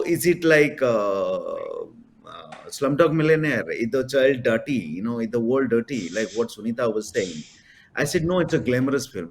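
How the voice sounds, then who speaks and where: A man from India, a filmmaker on a panel, explaining his views in an earnest way. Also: medium pitch. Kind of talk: panel discussion.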